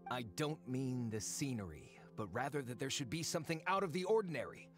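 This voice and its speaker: A man's voice: mid-range voice, animated, fairly quick, music in the background, lightly acted. Man as a smug researcher, swooping intonation. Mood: impatient, dismissive. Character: smug, slick foreign archaeological researcher, impatient schemer. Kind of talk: anime game voice acting.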